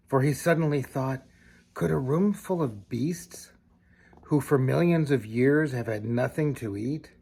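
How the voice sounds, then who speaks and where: An older man reading a children's storybook aloud like a grandfather, with expressive, deliberate phrasing and casual asides. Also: mid-range voice, creaky, slight background noise.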